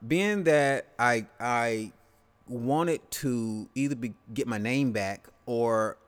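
A man's voice: medium pitch, slow, clean tone. A man in his late thirties hosting a solo podcast, talking loosely and casually, slipping into slang and playing out short quoted exchanges. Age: 30s. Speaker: podcast host.

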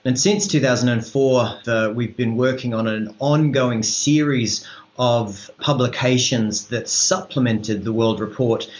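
An Australian man giving formal interview answers as an expert advisor, in a halting, measured way with careful official wording. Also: mid-range voice. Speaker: road safety regional advisor, interview guest. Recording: roomy echo, dull lo-fi sound.

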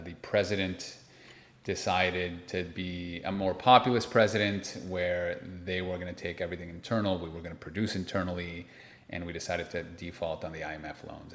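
A man's voice: low voice, a bit bright. A man from Peru in his forties, an interview guest telling personal stories in a conversational way. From Lima, Peru. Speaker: interview guest, investment manager. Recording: roomy, echoey sound.

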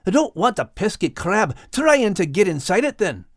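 A man voicing a plucky, kindly male dog with a gruff, hearty manner and a Scottish accent, in an audiobook. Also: high-pitched, big pitch swings, normal speed, no echo, clean studio sound, voice pushed up, exaggerated voice. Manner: hearty, growling under his breath.

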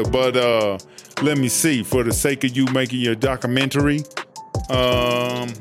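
An actor playing a smooth-talking, cocky prison hustler in a comedy audio drama, casual, slangy and swaggering. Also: male voice, medium pitch, close to an everyday voice. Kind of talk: comedy audio drama in mockumentary interview form.

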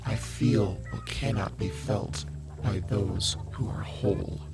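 A woman voicing a broken, solitary, defiantly feral outcast in a low, whispery, incantatory audio drama monologue. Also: fairly even intonation, slow. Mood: bleak, defiant. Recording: loud music behind voice.

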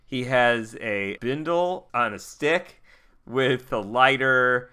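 Male tabletop player, bright forward voice, informal joking asides. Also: medium pitch, slow pace, smooth. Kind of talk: casual improvised game chat.

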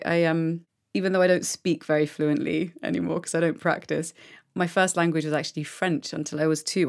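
A woman's voice: low voice, darkish tone. A woman hosting a podcast interview, enthusiastic and musing aloud as she asks her questions. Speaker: podcast host.

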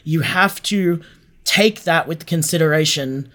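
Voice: mid-range voice, measured pace, a bit bright. An interview guest talking casually and earnestly, with informal, frank phrasing. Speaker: interview guest, audio drama maker.

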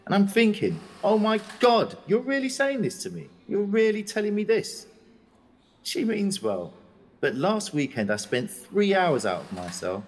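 A bitter, outspoken English prisoner speaks with a husky, low voice in an audio drama. His pitch swings widely and a long reverb hangs behind him. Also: wildly swooping pitch, unhurried, exaggerated voice. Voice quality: bitter, urgent.

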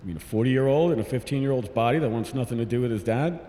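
An actor playing the anxious, exasperated boss of a science team in an audio drama, dry and sarcastic. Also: male voice, mid-range voice, quick pace, warm tone, close to an everyday voice. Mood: dry, wry. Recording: echoey reverberant lab, faint noise.

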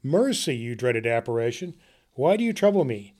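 A man's voice: mid-range voice, very animated, normal speed, darkish tone. A man voicing a frightened old miser who pleads and trembles, reading aloud from a storybook.

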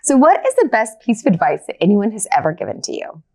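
A woman's voice: mid-range voice, big pitch swings, fast, darkish tone. A woman hosting an interview podcast, asking questions and responding in an encouraging, affirming way.

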